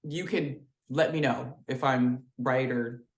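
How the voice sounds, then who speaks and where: A woman's voice: very deep voice, fairly even intonation, takes it slowly. A woman who is a guest on a video-call interview, bubbly and playful, laughing often. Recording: roomy echo on a video call.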